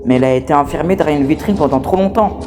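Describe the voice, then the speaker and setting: A man's voice: on the high side, rapid-fire, music in the background, lightly acted. A man voicing a scrappy, talkative toy outcast, wary but scheming, in a fan dub of a horror game. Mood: hushed urgency. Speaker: amateur voice actor dubbing a game character.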